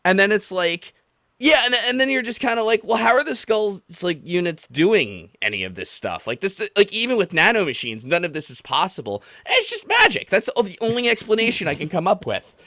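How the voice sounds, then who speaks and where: A man with a fairly high voice hosts a casual livestream. His pitch jumps around in an animated way as he rambles and swears in exasperation. The sound is dry, with hardly any room to it. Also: brisk, no room sound. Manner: exasperated, animated, rambling, mimicking others in mock quotes. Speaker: podcast and livestream host taking callers. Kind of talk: casual profane ranting about a video game.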